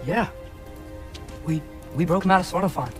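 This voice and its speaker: A young man as an earnest, higher-voiced teenage hero. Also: normal speed, lightly acted. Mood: persuading. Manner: earnest, youthful, hesitant. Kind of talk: naturalistic video game acting. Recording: music under the voice.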